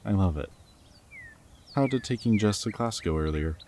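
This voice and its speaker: Casting: male actor as goofy, loving young rebel lab scientist, very deep voice, earnest and rambling, intimate audio roleplay with noticeable background noise. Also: animated, moderate pace, a little mellow, lightly acted. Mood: upbeat, affectionate. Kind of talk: intimate scripted roleplay audio drama, speaking to the listener.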